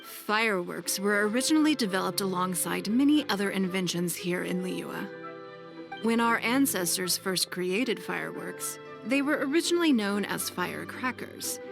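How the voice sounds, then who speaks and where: A woman playing a refined, authoritative noblewoman leader with a formal, composed, measured delivery in a video game cutscene. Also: mid-range voice, animated, creaky. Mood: calm, explanatory. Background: soft game music.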